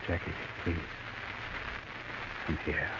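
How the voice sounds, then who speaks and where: An actor playing an infatuated, evasive married man with hesitant, halting delivery in a 1940s radio drama. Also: natural voice. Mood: hesitant, tender. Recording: noisy muffled old radio recording.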